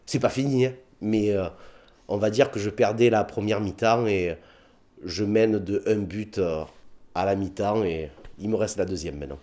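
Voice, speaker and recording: A man's voice: on the low side, normal speed. A man with a southern French accent, a comedian telling anecdotes in a casual interview, acting out bits of dialogue. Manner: animated anecdotes with put-on dialogue, many fillers.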